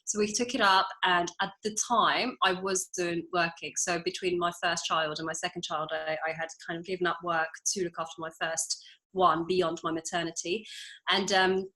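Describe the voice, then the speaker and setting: Bright-voiced chatty woman. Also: on the low side, steady tone, low-quality recording. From France. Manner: chatty, laughs often, quick asides. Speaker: interior designer, guest. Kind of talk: casual interview storytelling.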